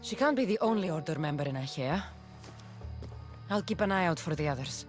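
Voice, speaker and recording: A woman's voice: low voice, expressive, a little fast, faint music behind. A woman playing a confident, tough mercenary of ancient Greece in a dramatic video game. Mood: confident, resolute.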